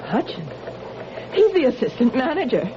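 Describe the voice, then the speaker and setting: A woman's voice: high-pitched, huge pitch swings, normal speed. A woman playing an anxious, contrite wife who compulsively steals, speaking hesitantly and pleadingly in 1970s radio drama style. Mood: confessing, distressed. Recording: muffled, noisy old radio recording.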